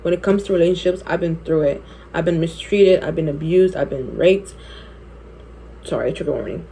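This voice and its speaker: Chatty young woman with low voice. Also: gravelly, dark tone, slight background noise, poor audio. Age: 20s. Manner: chatty, candid, laughs often, quick asides. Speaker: beauty vlogger. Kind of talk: storytime while doing makeup.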